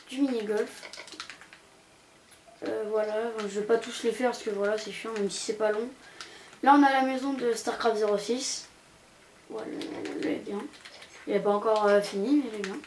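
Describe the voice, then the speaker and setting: A woman's voice: medium pitch, darkish tone, low-quality recording. A young woman giving a casual, rambling, hesitant commentary as she tours a video game. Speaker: gaming channel host. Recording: amateur home recording with background noise.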